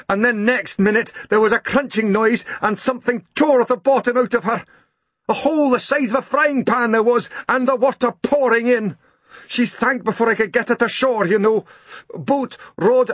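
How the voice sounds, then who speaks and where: A man reading an audiobook voices a soaked, shaken Scottish postman in an excited, pleading tone. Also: no echo. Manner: excitable, breathless, high-pitched pleading.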